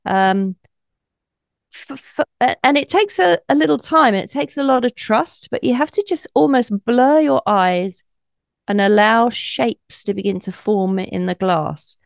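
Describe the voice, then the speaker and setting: A woman guest with a slightly croaky, gravelly voice, explaining her psychic practice in a thoughtful, conversational way during an interview. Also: on the high side, lively intonation, unhurried, no echo, clean studio sound. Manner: thoughtful, explanatory, light laughs.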